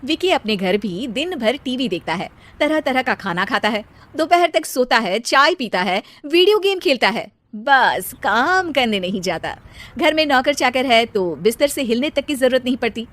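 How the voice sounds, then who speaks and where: A woman's voice: sits high, sing-song, moderate pace, fairly bright tone. A woman narrating a scripted children's story.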